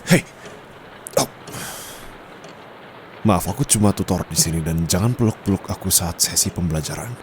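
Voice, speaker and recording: A stern young male tutor played by a man with an Indonesian accent and a deep, low voice. He speaks firmly and formally in an intimate ASMR roleplay style, slipping into English phrases with a dominant edge. Faint noise sits behind the voice. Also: moderate pace, pauses often, natural voice. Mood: flustered firm. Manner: firm, scolding, formal, slips into English phrases. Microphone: close condenser microphone.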